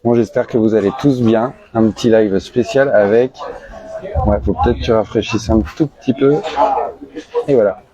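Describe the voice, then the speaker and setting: A man's voice: lowish voice, steady tone, moderate pace. A man with a northern French accent, interviewing a guest in a casual, chatty way with many fillers. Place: busy tattoo convention hall. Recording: dull sound with crowd behind.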